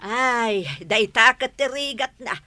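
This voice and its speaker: A woman's voice: fairly high pitch, slow, pushed and bright, no room sound. A woman with an Ilocano accent playing a grumbling, disapproving village woman in a comedy radio drama.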